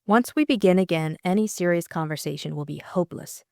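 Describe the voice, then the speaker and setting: A woman voicing a witty, teasing young Englishwoman, dry and brisk. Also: on the low side, gravelly, warm tone, dry recording.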